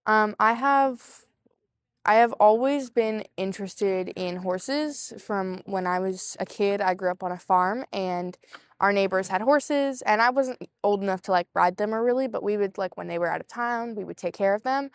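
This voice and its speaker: A woman's voice: mid-range voice, moderate pace, smooth, dry recording, studio quality. A woman from Georgia speaking in an easygoing, casual way in a documentary interview.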